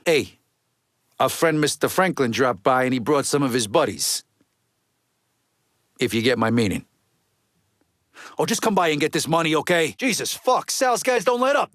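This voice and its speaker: An actor playing a gruff, resentful mob lieutenant in a video game, with an American accent and a blunt, streetwise, foul-mouthed way of talking. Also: male voice, on the high side, a bit bright, dry recording, clean studio sound. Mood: blunt, wary, then exasperated. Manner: blunt, streetwise, heavy swearing.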